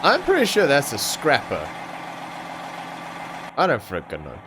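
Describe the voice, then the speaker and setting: A man's voice: medium pitch, huge pitch swings, measured pace, noisy background. A young man from Africa, an Afrikaans speaker, rambling through casual commentary on a truck driving game with plenty of ums and restarts. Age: young adult. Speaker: gaming channel host.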